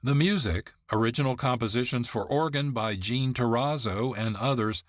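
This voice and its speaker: A man who is the producer and host of a radio program, giving measured, informative narration from a script. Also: mid-range voice, no room sound, clean studio sound.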